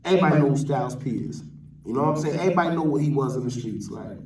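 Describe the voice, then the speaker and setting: A man's voice: mid-range voice, racing, darkish tone. A man from New York with a New York accent gives an off-the-cuff opinion rant as a vlogger, using street slang and repeating phrases for emphasis. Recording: roomy echo, distant from mic.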